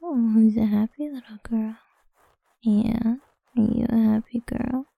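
Slow, breathy whispering woman. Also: on the high side, no echo, narrow, tinny audio. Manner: soft, slow, coaxing whisper. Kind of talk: whispered ASMR roleplay.

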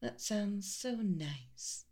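A young woman voicing a teasing, commanding female spirit with a tail who keeps a library, speaking in a soft whisper with low laughs. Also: expressive, extremely slow, husky, heavily acted. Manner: mocking, playful, commanding. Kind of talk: whispered audio roleplay.

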